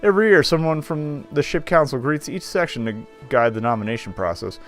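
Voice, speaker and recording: A man's voice: a little high, expressive, brisk, a bit bright. A male game streamer reading visual novel narration aloud, with wry, sardonic asides. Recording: faint music behind voice.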